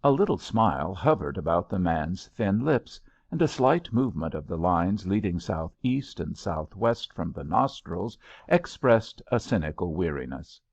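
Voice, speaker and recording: A man reading literary fiction aloud as an audiobook narrator, in an expressive, measured storytelling manner, on a slightly dull recording. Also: low-pitched, vocal fry, no echo. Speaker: audiobook reader.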